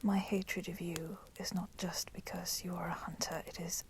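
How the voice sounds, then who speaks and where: A woman voicing a proud, resentful female vampire in a whispered ASMR roleplay, speaking softly and deliberately in formal, menacing phrases. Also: on the low side, little pitch movement, natural voice. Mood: bitter, proud. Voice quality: soft, breathy whispering. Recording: constant crackling fire noise behind voice. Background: crackling fire.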